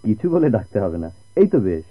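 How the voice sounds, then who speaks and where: A man with a Bangladeshi accent playing a kind, patient, devoted family friend in a radio drama, speaking earnestly in formal, measured diction. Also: lowish voice, big pitch swings, breathy edge. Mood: gentle, reassuring. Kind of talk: Bengali radio drama acting. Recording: muffled old radio drama recording.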